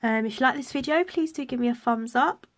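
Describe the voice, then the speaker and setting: A woman talks through a card-making project with a head cold, her voice stuffy and a little raspy. She speaks softly as she works, at times close to a whisper. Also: sits high, brisk. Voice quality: raspy, blocked-up nasal voice. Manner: soft, partly whispered while working. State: congested from a cold. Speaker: stamping craft demonstrator. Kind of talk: craft tutorial.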